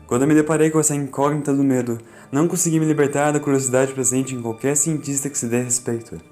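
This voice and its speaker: A Brazilian man narrating a scripted fan audiobook in a solemn, deliberate, dramatic way. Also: mid-range voice, steady tone, a little mellow, soft background music.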